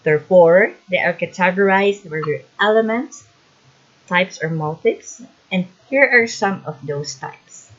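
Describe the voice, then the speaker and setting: A woman's voice: lowish voice, measured pace. A woman student presenter reads out a scripted lesson on a slightly dull recording.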